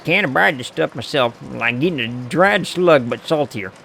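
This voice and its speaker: Guy reading as a folksy joking hobo, lively up and down voice, easygoing. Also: fairly high pitch, vocal fry, a little hiss or hum. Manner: folksy, teasing, unhurried. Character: folksy friendly male hobo drifter, joking.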